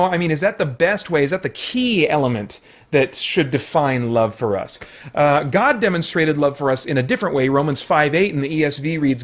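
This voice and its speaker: A man giving a casual, unscripted movie review in a conversational way, often searching for words. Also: on the high side.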